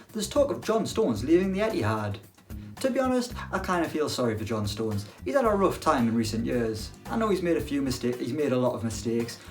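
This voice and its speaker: A young man chatting about football with a dry, self-deprecating wit. His voice sits a little high and bounces up and down a lot. Faint music plays behind him. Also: darkish tone. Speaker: football presenter. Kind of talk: casual football chat to camera.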